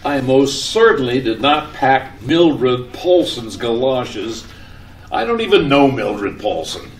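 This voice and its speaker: Man acting a flustered radio-skit husband, echoey and lively. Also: medium pitch, slow. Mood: indignant. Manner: stammering, exasperated. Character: flustered, forgetful husband leaving on a business trip. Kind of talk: old-time radio comedy skit acting. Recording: echoey room, distant mic, background noise.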